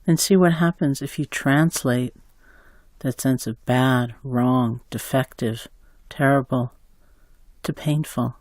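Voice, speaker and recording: A man slowly guiding a compassion meditation, calm, with long pauses between phrases. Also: mid-range voice, dry recording. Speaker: meditation guide. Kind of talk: guided meditation.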